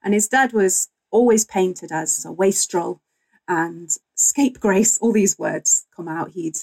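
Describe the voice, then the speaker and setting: A woman's voice: mid-range voice, slow pace, darkish tone, rough recording. A woman talking conversationally as a guest historian in an interview, candid and wryly humorous.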